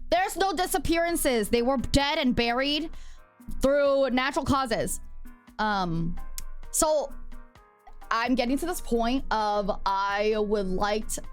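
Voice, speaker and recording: A woman's voice: on the high side, expressive, slow pace, faint music behind. A woman streaming live, chatting casually and playfully, swearing freely as she talks to her viewers. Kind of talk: casual livestream chat.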